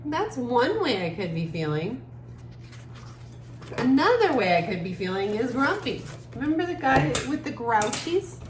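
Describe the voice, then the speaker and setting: A woman giving a casual art lesson for children, musing gently aloud as she draws. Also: fairly high pitch, sing-song. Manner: gentle, musing, talks while drawing with trailing pauses. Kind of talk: casual creative drawing lesson, thinking aloud. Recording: echoey room with clear background noise.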